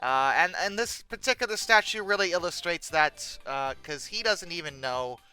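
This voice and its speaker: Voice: mid-range voice, animated, moderate pace, pushed and bright, clear, resonant tone, faint music behind, rough recording. A commentator giving humorous off-the-cuff commentary, stammering and hesitating, with bursts of theatrical exasperation. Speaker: YouTube host. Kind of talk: humorous unscripted commentary on pictures.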